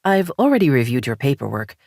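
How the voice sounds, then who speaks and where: A woman voicing a calm, measured therapist, a man in his mid-forties. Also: very deep voice, creaky, dry recording, studio quality, exaggerated voice.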